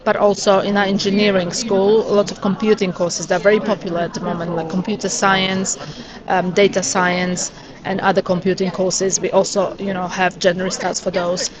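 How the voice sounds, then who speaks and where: A woman representing a university answers interview questions steadily, pausing with frequent "uh"s. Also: medium pitch, flat delivery. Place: busy education expo hall. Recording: crowd noise behind. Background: crowd chatter.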